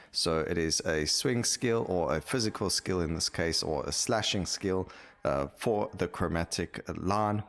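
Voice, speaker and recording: A man's voice: sits low, fairly bright tone. A man giving casual, enthusiastic gameplay commentary as the host of a gaming video, explaining things informally.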